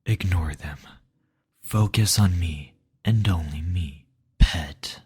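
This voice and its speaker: A man voicing a stern, possessive demon lord in a slow, domineering, intimate roleplay style. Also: low voice, darkish tone, heavily acted. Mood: possessive, commanding. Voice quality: breathy, husky. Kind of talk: intimate scripted roleplay audio.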